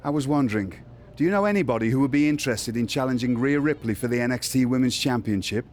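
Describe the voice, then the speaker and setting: A man's voice: mid-range voice, quick pace, a little mellow, slight background noise, lightly acted. A man playing a businesslike wrestling boss in a game cutscene, speaking in a matter-of-fact, conversational way. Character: businesslike male wrestling boss handing out matches.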